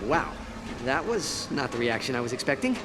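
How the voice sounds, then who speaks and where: An actor playing a plucky, cheeky young furry alien hero in a video game. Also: male voice, mid-range voice, very animated, moderate pace, noisy background, lightly acted. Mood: cheeky, urgent. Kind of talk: video game cutscene acting.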